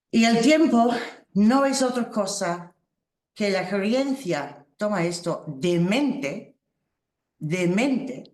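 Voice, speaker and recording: Slow, reflective woman, Spanish. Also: fairly low pitch, a little mellow. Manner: slow, halting, reflective, with small laughs. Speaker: workshop teacher. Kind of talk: spiritual workshop talk. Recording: roomy echo.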